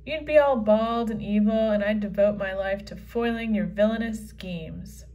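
A woman's voice: mid-range voice, normal speed, muffled recording, voice pushed down, close to an everyday voice. A woman voicing an imaginative, quirky nine-year-old girl in a children's comic read-aloud. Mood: musing. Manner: earnest, excitable kid delivery.

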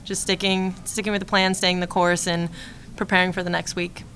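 Bright, flat-toned young woman athlete. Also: on the low side, brisk, a little hiss or hum. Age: 20s. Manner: steady, composed, repeats key phrases. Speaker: college softball catcher. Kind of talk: postgame press conference answers. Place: press room in front of a team backdrop. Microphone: desk mic on a stand.

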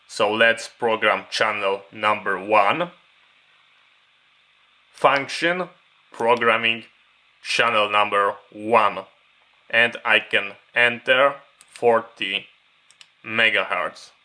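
Bright-voiced male instructor, unhurried. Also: lowish voice. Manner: methodical, step-by-step. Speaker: instructor demonstrating radio programming. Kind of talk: hands-on tutorial explanation.

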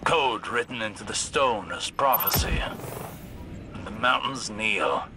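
An actor playing a solemn, cryptic scholar in a video game, speaking in a grave, formal, poetic way. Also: male voice, lowish voice, lively intonation, unhurried. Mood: grave wonder. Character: solemn, cryptic male scholar guiding soldiers. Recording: loud game noise and effects behind.

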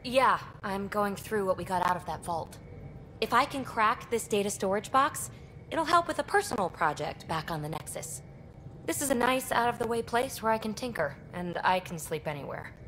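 Casting: a restless alien scavenger, breezy and teasing, mid-range voice, slight noise. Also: female voice, medium pitch, lively intonation, fairly bright tone, poor audio. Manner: breezy, teasing, fast-thinking. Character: restless young female alien scavenger, quick-witted. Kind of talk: video game cutscene dialogue.

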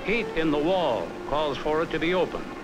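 An American man reading a scripted 1950s training film narration in a measured, instructive, carefully enunciated way, on a vintage film soundtrack. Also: a little high, animated, background noise. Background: background music.

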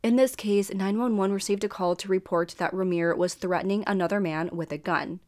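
A woman's voice: medium pitch, fairly even intonation, darkish tone. A young woman in her twenties hosting a true crime show, reading her script to camera in a small room at home, her voice a little scratchy and stuffy from a dry throat. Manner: earnest, measured storytelling.

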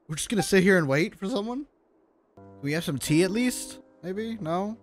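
A man's voice: high voice, expressive, normal speed. A man streaming a game, commenting casually in a laid-back, joking way and reading dialogue aloud. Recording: game music clearly behind. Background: video game music.

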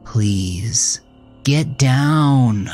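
A man's voice: medium pitch, expressive, very slow, a little mellow, soft background music, pitched above the performer's own voice. Man playing an anxious, exasperated, awkward man. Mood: awkward pleading.

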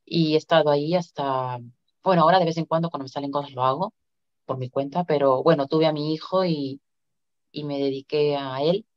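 A woman's voice: low-pitched, fairly quick. Chatty Latin American woman, muffled call audio. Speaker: interview guest. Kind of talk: casual conversational interview. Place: indoor room, on a video call. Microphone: video call device microphone. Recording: dull, lo-fi video call audio.

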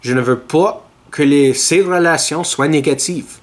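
A man, hosting a podcast and giving measured, opinionated commentary to a webcam in a small room. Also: on the high side. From Ontario, Canada. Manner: measured, earnest and opinionated, talks straight to camera. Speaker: podcast host. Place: small indoor room with dark curtains.

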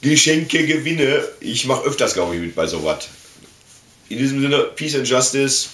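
A man's voice: medium pitch, animated, moderate pace, a bit bright. Man chatting casually in an echoey room. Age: 40s. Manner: colloquial, slangy, enthusiastic fan talk. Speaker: YouTube vlogger and collector. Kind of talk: casual unboxing chat. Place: small indoor room full of collectibles. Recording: echoey room with faint noise, clicks.

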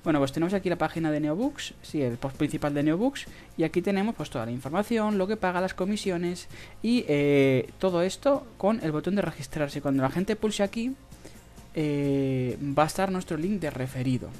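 A speaker with a Spain Spanish accent giving a casual screen-recorded tutorial, in a halting, hesitant way with drawn-out pauses. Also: mid-range voice, lively intonation, darkish tone. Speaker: video tutorial host. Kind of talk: casual screen-recorded tutorial about earning money online. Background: background music.

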